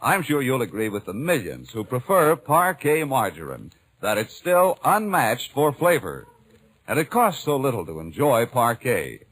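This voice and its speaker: A man's voice: medium pitch, fairly bright tone. A man with an American accent announcing on an old radio show, reading sponsor copy and scripted narration. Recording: old radio broadcast, faint music behind.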